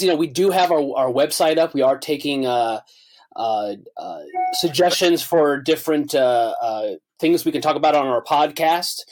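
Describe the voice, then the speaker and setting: Guy hosting a wedding podcast, kinda high voice, pumped, says uh a ton. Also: a little mellow. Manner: enthusiastic, many filler uhs. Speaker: podcast host.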